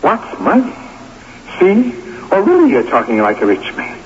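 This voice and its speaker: A man's voice: high-pitched, huge pitch swings, normal speed. A man playing an older, pragmatic pal giving insistent, colloquial advice in a radio drama. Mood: urgent, persuading. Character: older pal, streetwise, pragmatic, pushy advice-giver to young athlete. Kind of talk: 1980s radio drama acting. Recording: dull noisy radio recording, long reverb.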